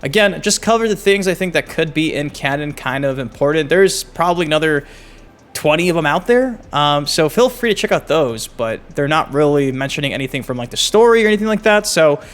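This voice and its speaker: A man hosting a gaming channel, giving excited casual commentary and swearing freely. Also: a little high, a little fast. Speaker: gaming YouTube host. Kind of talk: casual commentary on game clips.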